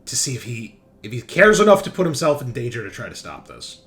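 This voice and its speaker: A man running a tabletop roleplaying game as game master, narrating casually, with loose swearing and excitable reactions. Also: medium pitch, fairly quick. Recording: background music under the voice. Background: music.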